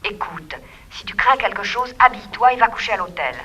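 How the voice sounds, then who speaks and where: Casting: level-headed sleuth's assistant, bright crisp tone, comforting, 1960s radio telephone-scene delivery. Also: female voice, mid-range voice, lively intonation. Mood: reassuring. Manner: reassuring, brisk, dismissive of fears. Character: calm female detective's assistant, brisk and reassuring. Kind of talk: 1960s French radio drama acting.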